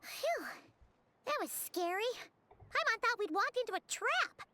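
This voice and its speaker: A woman's voice: sing-song, a little slow, full character voice. A woman voicing a tiny, chirpy and blunt fairy companion in a video game, speaking of herself in the third person. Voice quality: high, bright, squeaky. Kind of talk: game voice acting.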